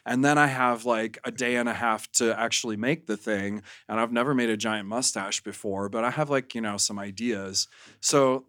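A man chats as a guest on a podcast. He tells stories casually, leaning on emphatic words and repeats, with a mid-pitched voice. The sound is dry, with no room to it. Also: fairly even intonation, moderate pace, a bit bright, no echo. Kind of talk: casual anecdotal interview chat.

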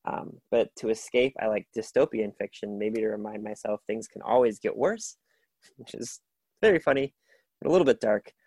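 A man's voice: fairly low pitch, normal speed, darkish tone, no room sound. A man hosting a book podcast alone, talking casually and enthusiastically, with many fillers and restarts. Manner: rambling, filler-heavy, enthusiastic. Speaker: podcast host. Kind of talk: casual solo book recommendations.

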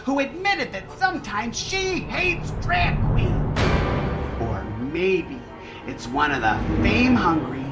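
A woman's voice: medium pitch, big pitch swings, takes it slowly, loud music behind the voice. Woman playing a brash, swooping, gossipy waitress. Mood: gossipy, dramatic. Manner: brash, theatrical, conspiratorial asides. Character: disgruntled sassy foul-mouthed waitress, gossipy recap narrator. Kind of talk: comedic radio play narration.

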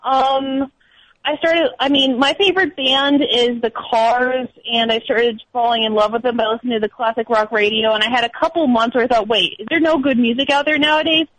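Young woman, high-pitched, show caller, casual, hesitant, reading aloud, dry close sound. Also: a little fast, a bit bright, dry recording, poor audio. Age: young adult.

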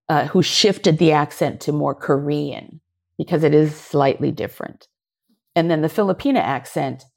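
A woman actor being interviewed, telling lively stories, often laughing and briefly acting out voices. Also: low-pitched, creaky. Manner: animated, laughing, acts out voices and gestures. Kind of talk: conversational interview storytelling.

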